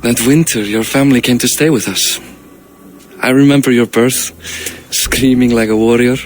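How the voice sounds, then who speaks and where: A man's voice: medium pitch, normal speed, a little hiss or hum, lightly acted. An actor playing a middle-aged Viking-age king and stern, worried father in a video game. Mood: pensive, fond. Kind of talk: dramatic video game cutscene acting.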